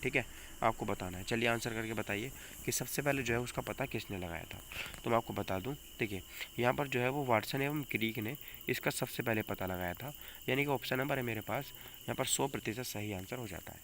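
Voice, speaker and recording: A man's voice: medium pitch, brisk, a bit bright. A man with a North Indian accent teaching an exam coaching lesson, repeating questions and answers for emphasis and asking rhetorical questions. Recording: noticeable background noise.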